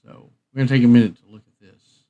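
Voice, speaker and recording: A man's voice: medium pitch, flat delivery, fast, phone-quality sound. A man hosting a live stream, chatting casually to viewers in a rambling, folksy way.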